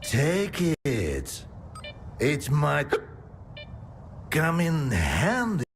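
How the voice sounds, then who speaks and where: An actor playing a laid-back, world-weary man of the wasteland in a game, offhand and unhurried. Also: a little high, huge pitch swings, slight background noise, exaggerated voice. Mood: friendly.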